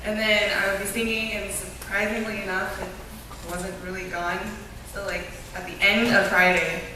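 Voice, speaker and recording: A young woman tells her stories in a chatty, animated way, filling gaps with casual fillers. She sits well away from the microphone in a strongly echoing room. Steady background noise runs underneath her voice. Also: mid-range voice, normal speed. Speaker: youth group member sharing a mission trip. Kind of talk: informal testimony to a church group. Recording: echoey room, distant mic, clear background noise.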